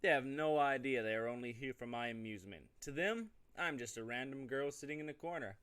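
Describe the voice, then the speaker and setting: A man hosts a casual gaming show in an ordinary mid-pitched voice. He moves between reading game text aloud and offhand, joking commentary. His delivery rambles in a relaxed let's-play style. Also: brisk, clear, resonant tone.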